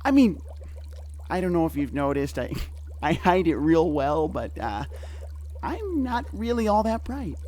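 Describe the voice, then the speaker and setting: Over noticeable background noise, a very high, swooping voice from a male actor plays an eager, dim young man. He stammers earnestly and stays nervously upbeat in this audio drama. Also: big pitch swings, measured pace, long pauses, darkish tone. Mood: chirpy, encouraging.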